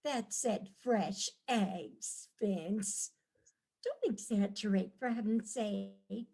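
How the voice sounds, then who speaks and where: A woman playing a patient, placid wife who gently chides and soothes, in a staged reading of a comic play. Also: medium pitch, very slow, slightly rough, full character voice. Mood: gently chiding. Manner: gently chiding, placating.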